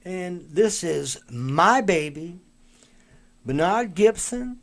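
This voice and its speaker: A man's voice: sits high, expressive, drawn-out words, creaky. A man hosting a live talk show, speaking casually with many fillers and restarts. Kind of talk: casual live-stream interview.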